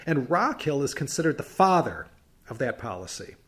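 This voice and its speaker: A man's voice: medium pitch, sing-song, moderate pace, a bit bright, husky. A man hosting a history podcast, telling the story in a casual, chatty way with wry asides and stressed words.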